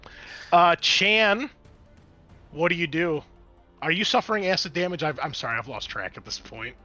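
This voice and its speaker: A man narrating and refereeing a tabletop roleplaying game as game master, in an informal, excitable way. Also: fairly high pitch, normal speed, faint music behind.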